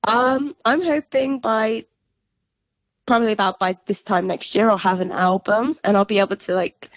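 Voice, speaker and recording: A woman's voice: medium pitch, moderate pace, dry recording. Young woman musician chatting in a phone interview, reflective, muffled lo-fi line, dry. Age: young adult. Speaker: singer-songwriter being interviewed. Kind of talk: casual, reflective interview chat.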